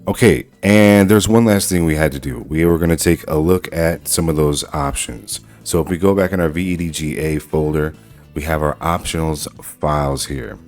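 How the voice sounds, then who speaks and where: A man hosting a casual software walkthrough, talking informally through each step as he goes. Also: very deep voice, moderate pace, faint music behind. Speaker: tutorial host.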